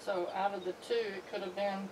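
Middle-aged woman counting chickens, matter-of-fact, mid pitch, caught from across a noisy coop. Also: flat delivery, brisk. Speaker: homestead vlogger. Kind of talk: casual chat while counting poultry. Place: metal-walled chicken coop. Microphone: camera on a tripod. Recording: distant camera mic, noisy coop. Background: clucking chickens and roosters.

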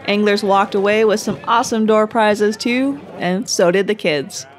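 A woman host giving upbeat, measured scripted narration. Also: medium pitch, fairly even intonation. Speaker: show host.

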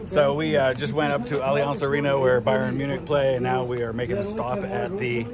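A man's voice: mid-range voice, a little fast, over a noisy crowd. A man hosting a travel vlog, chatting casually with fillers as he walks around a city square. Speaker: vlog host, tour guide.

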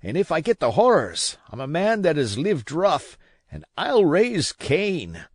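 A man voicing a weak, feverish old sea captain who curses and pleads in rough sailor talk. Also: a little high, wildly swooping pitch, unhurried, no room sound, studio quality, pitched above the performer's own voice, full character voice. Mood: desperate. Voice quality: feeble, wheedling.

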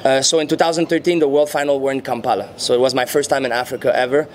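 A man in his thirties speaks English with a Quebec French accent, French being his first language. He talks slowly and thoughtfully, with many ums, into handheld mics at an indoor venue. Faint noise hangs behind him. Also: mid-range voice, fairly even intonation. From French-speaking Canada. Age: 30s. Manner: unhurried, searching for words, frequent fillers. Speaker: interviewee, muralist and rapper. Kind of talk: casual interview answers. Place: indoor venue before a painted backdrop. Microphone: handheld mics held near the chin.

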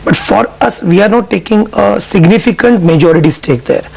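Husky, high-voiced businessman. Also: darkish tone. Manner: measured, explanatory, businesslike. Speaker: CFO guest. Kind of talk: business news interview.

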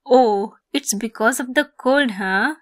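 A woman playing a teasing, affectionate young woman in love in an ASMR romance roleplay, speaking softly and playfully, at times breathily. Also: a little high, takes it slowly, no echo, clean studio sound.

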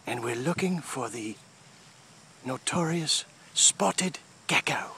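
A man hosting a playful mock wildlife documentary in a theatrical way, sometimes hushed and repeating his phrases. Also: medium pitch, lively intonation, takes it slowly. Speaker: host of homemade nature show. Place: outdoors in a grassy yard by trees. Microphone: handheld phone camera, speaker behind it. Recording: outdoor phone audio with insect noise.

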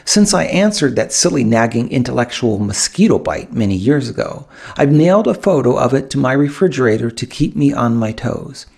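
A man reads a first-person story in a scripted audiobook style. His voice is on the low side, with a gravelly creak and a husky grain. He tells it with wry touches and pauses for dramatic effect. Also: sing-song, a little mellow. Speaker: author reading own audiobook.

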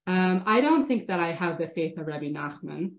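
A woman's voice: fairly low pitch, expressive, moderate pace, dull audio. A woman in her thirties, a rabbi teaching an online class from her home study, talking in a thoughtful, casual way with many restarts, sniffly from a cold. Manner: thoughtful, hesitant restarts, warm engagement with participants. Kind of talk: casual online lecture answering chat questions. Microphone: laptop webcam video call. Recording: roomy webcam audio.

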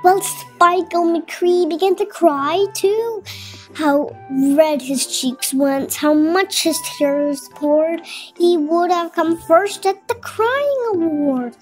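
A woman storyteller with a strikingly high, almost childlike pitch narrates for young children. She takes her time and draws words out expressively, with children's music playing underneath. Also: takes it slowly, rough recording. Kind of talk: read-aloud children's picture book narration.

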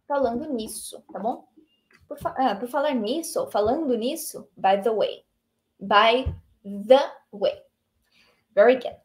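A young Brazilian woman teaching English online from a small room, lively and encouraging, reading the English lines out slowly. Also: fairly high pitch. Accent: Brazilian Portuguese. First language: Portuguese.

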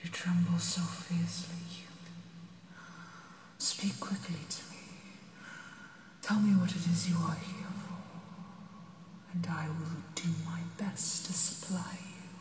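A woman voicing an ancient, proud female dragon, gentle but menacing, in a breathy, deliberate ASMR roleplay monologue. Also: fairly low pitch, fairly even intonation. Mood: stern, probing. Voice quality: breathy, whispery. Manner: slow, deliberate, imperious. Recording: cavernous long reverb, distant voice.

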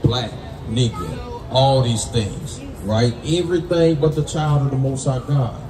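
A man's voice: mid-range voice, a little slow, crowd noise. An American man preaching as a street Bible teacher, emphatic and colloquial, punctuating his points with "right?" and "man". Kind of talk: call-and-response Bible teaching. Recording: distant roomy mic amid people's noise.

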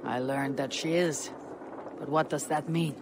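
Deep, stern Viking warrior woman. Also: fairly even intonation, a little slow, background noise. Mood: brusque. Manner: terse, resolute. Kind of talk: video game cutscene acting.

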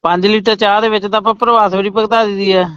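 A man's voice: high-pitched, little pitch movement, fast, fairly bright tone, clear, resonant tone, dry recording. A man with a Punjabi accent on a comic phone call, answering in protest and repeating himself. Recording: dull, lo-fi phone call recording.

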